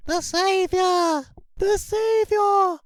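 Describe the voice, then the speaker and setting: A man voicing a chorus of small devoted alien creatures who chant their slogans in unison, shrill and fervent. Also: fairly even intonation, extremely slow, dry recording, clean studio sound, exaggerated voice.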